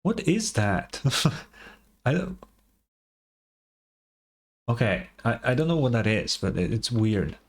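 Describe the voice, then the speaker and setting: A man's voice: medium pitch, very animated, slow pace, pauses often. A man giving casual, slangy live reactions as a game streamer, thinking aloud and swearing freely. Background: faint game music.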